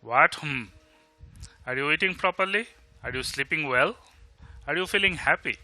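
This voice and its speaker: A man with an Indian Bengali accent playing a caring, teasing young male friend in a bilingual audio drama, asking after someone and gently chiding. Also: fairly high pitch, normal speed, soft background music. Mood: playful, caring. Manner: solicitous, gently chiding, asking many questions.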